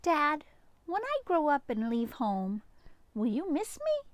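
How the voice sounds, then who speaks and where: Woman reading as an eager, high-voiced little boy. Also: wildly swooping pitch, slow pace, no echo, heavily acted. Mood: tender, eager. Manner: eager, wondering, tender. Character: loving, curious, earnest young boy. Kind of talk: picture-book read-aloud.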